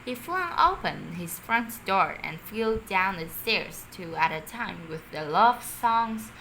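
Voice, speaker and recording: A woman's voice: medium pitch, expressive, slow pace, sharp tone, slight background noise, poor audio. A woman reading a children's story aloud expressively, sometimes stumbling over her words. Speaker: storybook reader.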